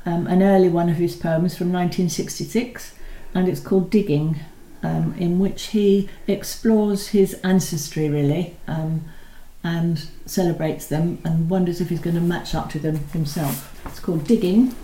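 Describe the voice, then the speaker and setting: A woman reciting poems, introducing each one before reading it in a measured, expressive way. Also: lowish voice. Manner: measured, expressive reading, slowing on key lines. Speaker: poetry reader. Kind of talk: poetry recital with spoken introductions. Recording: roomy echo.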